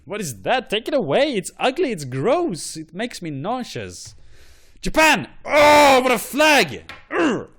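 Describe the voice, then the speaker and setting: A man's voice: very high voice, wildly swooping pitch, slow, a bit bright. A man hosting an online show, giving blunt, profane and rambling opinions. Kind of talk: ranting commentary on flags.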